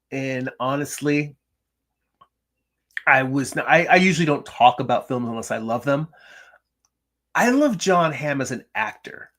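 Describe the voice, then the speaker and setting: A middle-aged man hosting a drawing livestream from his home, chatting casually with viewers and reading their comments, his voice gravelly from little sleep, with many restarts and fillers. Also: medium pitch, fairly bright tone. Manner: chatty, self-deprecating, frequent restarts and ums. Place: home room.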